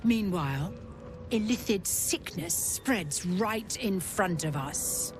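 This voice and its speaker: A woman's voice: medium pitch, expressive, takes it slowly. An actress playing a cold, proud female githyanki creche commander who speaks in a clipped, formal, commanding way. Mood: stern. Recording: game audio with music behind.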